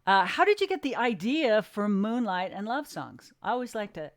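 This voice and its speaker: A woman hosting an interview in a casual, chatty way, with an informal, halting delivery on a close mic. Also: medium pitch, very animated, no room sound. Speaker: interview host.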